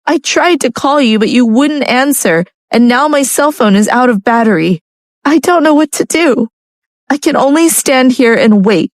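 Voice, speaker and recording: A woman's voice: no echo, clean studio sound. Woman voicing a tearful pleading young wife. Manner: high pleading voice.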